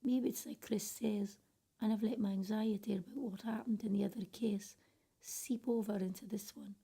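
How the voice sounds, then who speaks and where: A woman playing a wry, weary professional woman in a radio play monologue, confiding drily in a husky, breathy, creaky voice. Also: mid-range voice, fairly even intonation, moderate pace, mellow. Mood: uneasy.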